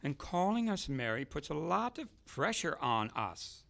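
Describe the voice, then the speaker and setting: Man playing a gravelly, put-upon outlaw. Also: on the high side, big pitch swings, measured pace, fairly bright tone, full character voice. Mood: sulky. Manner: complaining. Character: put-upon, sulky outlaw band member. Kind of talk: radio-play comedy acting.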